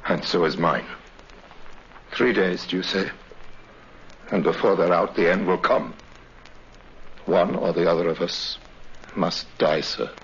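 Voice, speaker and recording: Deep-voiced, courtly English criminal mastermind. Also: male voice, fairly bright tone, slightly rough, exaggerated voice. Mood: solemn menace. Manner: formal, unctuous, deliberate. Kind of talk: 1950s radio drama acting. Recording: muffled, faintly hissy 1950s radio recording.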